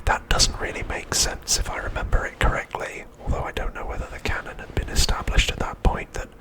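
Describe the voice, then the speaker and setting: A man narrating a scripted recap for ASMR in a breathy, unvoiced whisper, with a hushed, measured delivery. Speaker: ASMR host.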